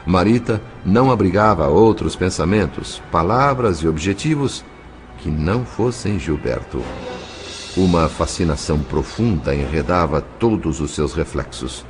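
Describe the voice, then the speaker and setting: A man's voice: low-pitched, lively intonation, measured pace. A man with a Brazilian accent narrating an audio novel in a solemn, literary, carefully phrased style. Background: faint music.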